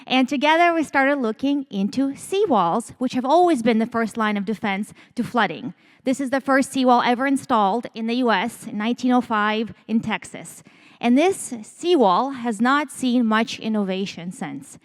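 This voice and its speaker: A woman from Ukraine, a company founder, giving a lively conference pitch talk on stage. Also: normal speed. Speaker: startup founder and CEO.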